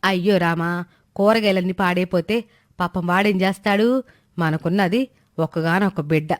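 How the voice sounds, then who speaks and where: Woman voicing a doting, dramatic older mother, lower voice. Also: moderate pace, lightly acted. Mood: pleading, insistent. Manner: scolding, pleading, dramatic. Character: doting, nagging older mother who pleads and threatens. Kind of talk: moral story character voice.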